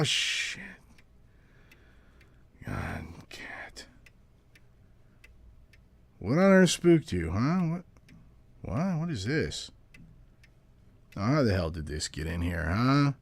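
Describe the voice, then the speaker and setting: Jumpy, rambling police detective, animated. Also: male voice, on the low side, dry recording, full character voice. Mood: startled, puzzled. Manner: halting, muttering, bursting into yells. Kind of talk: audio horror drama acting.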